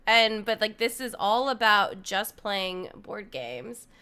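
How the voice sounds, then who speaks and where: A woman's voice: mid-range voice, lively intonation, slow, bright, forceful tone, smooth. A woman hosting a casual livestream on a desk microphone, chatty and full of fillers as she talks to her chat. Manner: chatty, filler-heavy, addresses chat viewers. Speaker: livestream host.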